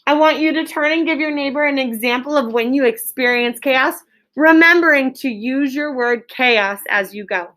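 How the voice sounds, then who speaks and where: An American woman teaching an elementary class, giving clear instructions and spelling things out for the children. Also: high voice, fairly bright tone. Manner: clear instructing, exaggerated drawn-out modeling. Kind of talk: interactive classroom lesson. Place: school classroom with pupils.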